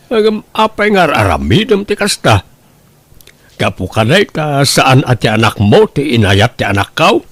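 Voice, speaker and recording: A man's voice: fairly high pitch, no room sound, exaggerated voice. A man playing a plain, familiar village man in an audio drama, with an Ilocano Filipino accent. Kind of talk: melodramatic radio-style drama acting.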